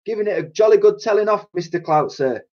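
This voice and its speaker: A man's voice: high voice, animated, quick pace, a little mellow, pitched above the performer's own voice. A man voicing a dotty, kindly cleaning lady with a chatty, colloquial manner in a children's storybook. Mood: flustered. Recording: dull lo-fi recording.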